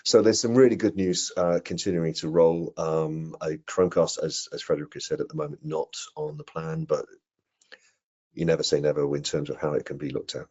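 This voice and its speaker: A middle-aged man answering technical questions in an online webinar, explaining things in a measured, careful way. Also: very deep voice, expressive, warm tone. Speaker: product presenter answering questions. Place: indoor room on a video call. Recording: muffled video-call audio.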